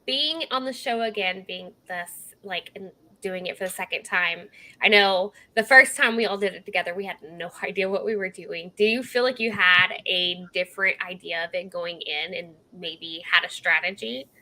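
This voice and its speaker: A woman's voice: lowish voice, normal speed, pushed and bright. A woman hosting a casual interview, upbeat and giggly as she asks her questions. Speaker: host.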